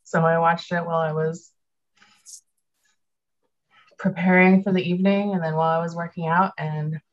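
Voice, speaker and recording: A woman with a slightly low voice speaks quickly and cheerfully in a casual podcast chat. Her audio sounds a little dull and muffled. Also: little pitch movement. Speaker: podcast participant.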